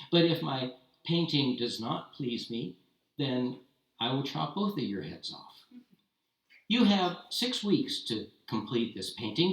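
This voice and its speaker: A man's voice: mid-range voice, a bit bright. A man voicing a cruel, haughty tsar who talks in an imperious, mocking way. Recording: roomy, distant mic.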